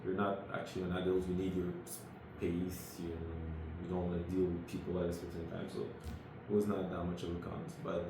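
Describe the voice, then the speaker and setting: Interview guest: man, low voice, slow and searching, very echoey room with steady background noise. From Senegal. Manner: unhurried, searching for words. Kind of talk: casual interview chat.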